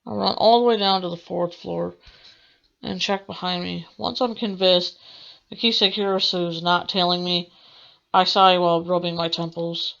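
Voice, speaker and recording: A woman's voice: lowish voice, steady tone, normal speed, vocal fry, fairly bright tone, highs cut off. A young woman let's player reading visual novel text aloud, expressively and with the odd stumble. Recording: game music behind the voice. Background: video game music.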